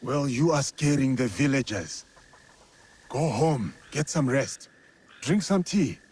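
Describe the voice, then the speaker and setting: An actor playing a stoic, honourable warrior of feudal Japan in a video game, speaking in measured, formal phrases. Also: male voice, mid-range voice, animated, darkish tone, breathy edge. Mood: gentle, calming. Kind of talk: video game cutscene acting.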